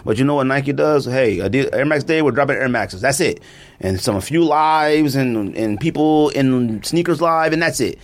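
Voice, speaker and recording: A man's voice: mid-range voice, moderate pace. A man co-hosting a podcast in casual chat, ranting with lots of laughter. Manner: animated, ranting, laughs often. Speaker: podcast co-host.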